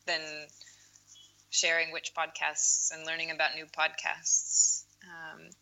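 A woman's voice: low-pitched, monotone, slow pace, creaky, sharp tone, rough recording. A woman from South Africa working in the wool industry, asking questions in a rambling, casual way. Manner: rambling, restarts phrases. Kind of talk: casual interview chat.

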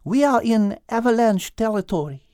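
An elegant, clever French cat played by a woman for a children's audiobook. The voice is very high and lilting, with a French accent. She explains things precisely, with eager delight, in a close dry recording. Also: lively intonation, normal speed, dark tone, no room sound, close to an everyday voice. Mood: cautioning. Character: elegant female French cat, clever, botany-loving teacher.

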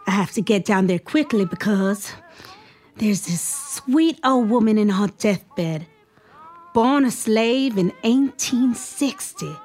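A woman's voice: medium pitch, expressive, slow pace, darkish tone. An actress playing an eager, spirited young woman writer in an audio drama, polite and persuasive as she seeks patronage. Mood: earnest, persuasive.

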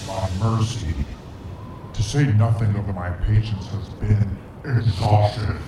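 Grieving, vengeful old cosmic monster, deep slow formal menace, noisy echoey sci-fi audio drama. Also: male voice, fairly even intonation. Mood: cold fury. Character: vengeful, grieving ancient male interdimensional monster of vast power. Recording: noisy, echoing, distant-sounding.

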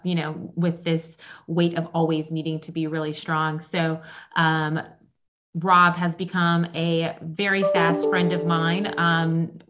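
A woman's voice: lowish voice, a bit bright. A woman speaking as a guest at a live fireside chat, chatty and upbeat with many fillers like um and kind of.

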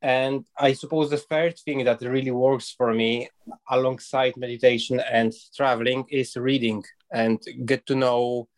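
A man speaks as a guest on a reflective panel. His voice sits at a middle pitch, and he talks in a thoughtful, hesitant way, stopping often to search for the right words. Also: flat delivery, unhurried. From Poland. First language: Polish. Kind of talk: reflective discussion.

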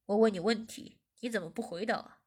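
A woman voicing a bossy, domineering man who taunts and gives orders in a lowered, husky voice. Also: brisk, a little mellow, lightly acted. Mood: taunting. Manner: taunting, commanding.

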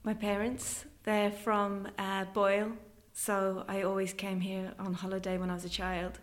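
A woman's voice: mid-range voice, monotone, a little slow. A middle-aged woman from London with an English-sounding accent, chatting casually as an interview guest. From London, then Ireland from age thirteen. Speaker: guest, stained glass artist. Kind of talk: casual conversational interview answers.

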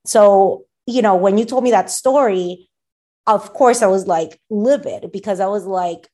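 A woman's voice: medium pitch, unhurried, darkish tone. A woman co-hosts a casual podcast in a mid-range voice. She speaks emphatically and with conviction, in a loose conversational way. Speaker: podcast co-host. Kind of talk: casual chat.

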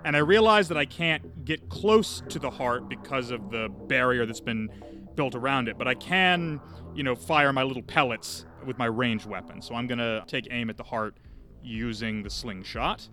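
A man's voice: mid-range voice, moderate pace, bright, forceful tone, soft background music. A man casually describing his character's actions as a player in a tabletop role-playing game.

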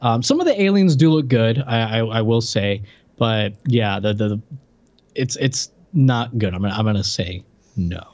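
Dude on a podcast as cohost, average pitch, casual chat, kinda halting, repeats words while figuring out what to say. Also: male voice, lively intonation, darkish tone, lo-fi sound. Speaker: podcast co-host.

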